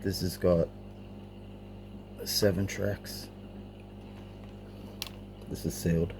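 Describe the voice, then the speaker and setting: A man's voice: sits low, flat delivery, extremely slow. A middle-aged man giving a casual, unscripted show-and-tell of his collection, a little hesitant, on an amateur camera recording. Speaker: collector presenting a cassette collection. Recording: clearly noisy amateur home recording.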